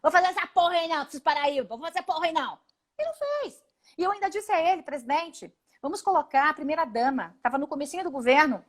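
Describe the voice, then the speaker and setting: A woman in her forties with a Brazilian Portuguese accent, a congresswoman giving a live video interview in an emphatic, indignant way, often speaking in rapid lists. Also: high voice, animated. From Paraná, Brazil. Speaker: congresswoman guest. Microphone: video call.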